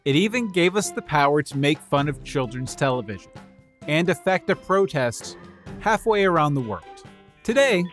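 A man's voice: on the high side, very animated, normal speed, soft background music. A man hosting a podcast in a casual conversational interview style.

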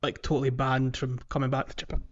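A man with a mid-pitched voice chats casually as co-host of a gaming podcast. He feels his way through his thoughts haltingly. The recording is a little muffled and lo-fi. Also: monotone, a crowd in the background.

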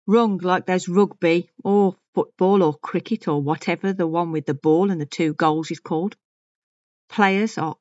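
A haughty, anxious older woman in an audio story, pompous and fussy. Her pitch rises and falls freely as she repeats herself with great self-importance. The recording is very dry and a little dull. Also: no echo. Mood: irritated, disdainful, then haughty, indignant. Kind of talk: dramatic first-person monologue reading.

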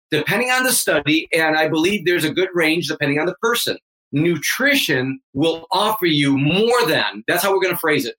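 Bright, punchy man in his fifties. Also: high voice, poor audio. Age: 50s. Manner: emphatic, punchy, coach-like. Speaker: fitness coach guest. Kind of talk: energetic motivational interview talk.

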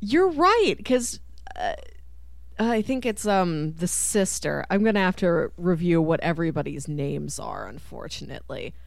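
A woman hosting a podcast, chatting casually about film in an animated, expressive way. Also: on the low side, unhurried, gravelly, dry recording. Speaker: podcast host.

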